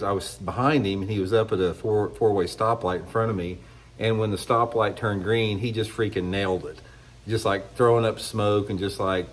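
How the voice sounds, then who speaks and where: A man's voice: fairly low pitch, a little hiss or hum. Congested middle-aged man ranting. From United States. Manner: rambling, blunt, restarts often. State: congested from a cold. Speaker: fishing channel host. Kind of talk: casual opinion monologue to camera.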